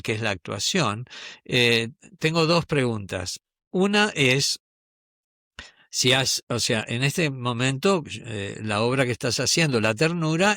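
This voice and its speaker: A male interviewer with a bright, forceful tone, recorded close and dry. He asks long, winding questions, padding them with fillers as he builds up to the point. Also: medium pitch, no echo. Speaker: interviewer, host.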